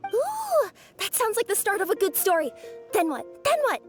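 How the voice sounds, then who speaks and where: A woman voicing a feisty, blunt but helpful female cat courier in animated game dialogue. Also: very high-pitched, moderate pace, music in the background, full character voice. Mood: excited.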